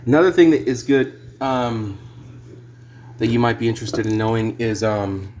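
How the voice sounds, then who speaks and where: A man's voice: mid-range voice, steady tone, normal speed, darkish tone. A man giving a casual, unscripted music gear demo in a rambling, hesitant, sweary way. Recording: clear background noise.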